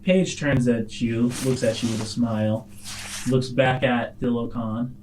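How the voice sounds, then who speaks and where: A man's voice: mid-range voice, fairly even intonation, measured pace, darkish tone, a little hiss or hum, poor audio. A man narrating an improvised tabletop game as game master.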